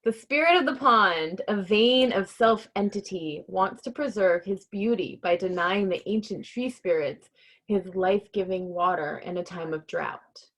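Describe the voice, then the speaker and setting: A woman with an average-pitched voice hosts a casual livestream read-along. She moves between playful, informal chat and reading from a children's storybook. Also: a little slow, fairly bright tone, poor audio.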